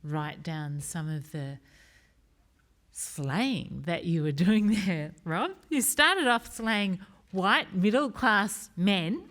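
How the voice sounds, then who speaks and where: A woman's voice: fairly low pitch, lively intonation, slow, fairly bright tone. A woman moderating a panel discussion, talking in an enthusiastic, chatty way with frequent fillers.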